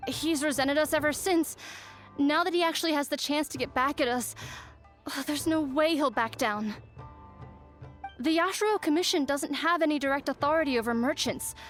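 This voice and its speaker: A woman's voice: high-pitched, normal speed, fairly bright tone, faint music behind. A woman voicing a flustered, earnest young attendant of a noble clan in a video game, speaking in a fretful, polite, wordy way. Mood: worried, dismayed. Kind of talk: English video game voice acting, scripted dialogue.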